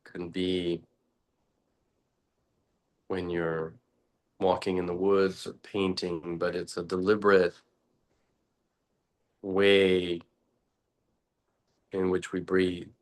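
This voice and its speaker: A man leading a guided meditation talk as a teacher, speaking deliberately in short, halting phrases with long pauses. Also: low voice, little pitch movement.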